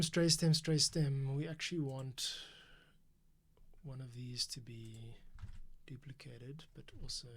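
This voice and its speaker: Male livestream composer, mid-pitched, casual self-directed muttering, clear background noise and typing. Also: fairly bright tone. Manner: murmuring, thinking aloud. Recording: noisy room, keyboard clicks and typing.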